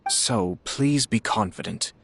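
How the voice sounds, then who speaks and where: An actor playing a composed young male noble clan head in a video game, speaking in formal, measured, diplomatic phrases. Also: medium pitch, wildly swooping pitch, vocal fry, fairly bright tone, slight background noise, heavily acted. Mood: measured, tactful. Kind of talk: video game dialogue acting.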